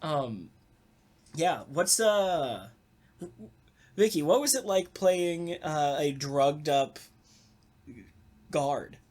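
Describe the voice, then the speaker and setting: Deadpan woman hosting a casual chat. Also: low voice, extremely slow, pauses often. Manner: deadpan, sarcastic. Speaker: podcast host.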